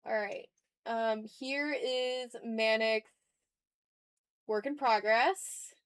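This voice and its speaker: High-voiced woman chatting on a casual stream. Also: fairly even intonation, drawn-out words, a bit bright, smooth, no room sound. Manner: informal, rambling, self-deprecating, sweary. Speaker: art livestream host. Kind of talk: casual livestream chat while drawing, reading out viewer comments.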